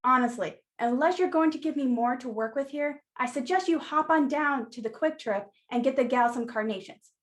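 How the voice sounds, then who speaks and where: A woman playing a sassy, blunt, no-nonsense flower shop keeper in a radio play, brisk, wry and teasing in manner. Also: high-pitched, close to an everyday voice. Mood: wry, prodding.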